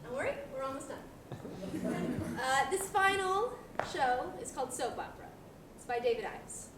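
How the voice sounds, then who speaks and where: A woman with a high, lively voice introduces a show informally, hesitant and self-deprecating. She is recorded from a distance in an echoey hall. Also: normal speed, noisy background. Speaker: student director introducing a play. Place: theatre hall.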